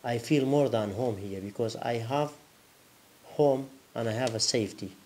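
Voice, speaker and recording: A man from a Kurdish region, interviewed, speaking slowly and searching for words. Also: mid-range voice, a little mellow. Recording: faint hiss.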